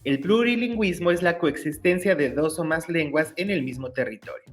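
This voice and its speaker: A man, hosting his own channel and clearly explaining teacher-exam study material in an upbeat, explanatory way. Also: on the high side, moderate pace, faint music behind. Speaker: YouTube channel host, teacher-exam tutor. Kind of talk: educational explainer reading curriculum text.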